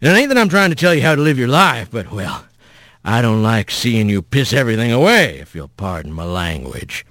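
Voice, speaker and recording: A man voicing a huge, mocking but paternal spirit creature, with a soft rumbling voice and rustic folksy drawl. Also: big pitch swings, brisk, no echo, studio quality. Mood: mocking, stern.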